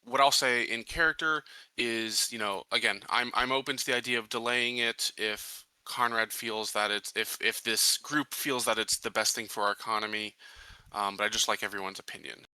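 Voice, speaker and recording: A man with a lowish, very bright and tense voice negotiates as a player in a roleplaying game. He speaks deliberately, with halting phrasing. The recording is close and dry. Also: fairly even intonation, clean tone, dry recording, rough recording. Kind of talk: in-character negotiation, conversational.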